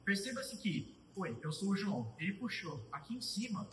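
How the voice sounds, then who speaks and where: A young man with a fairly high voice talks through code as he types it. He thinks aloud, pauses and restarts his sentences, and sounds a little distant from the mic. The room adds a roomy echo, with a faint hum of ambience behind him. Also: very animated, fairly bright tone. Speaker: student presenter. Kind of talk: live coding tutorial.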